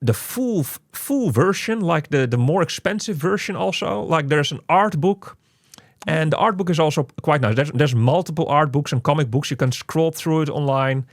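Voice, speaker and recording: Man, game review host, casual talk, halting and repetitive delivery, dry sound. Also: on the high side, expressive, no room sound. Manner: halting, repeats words and phrases.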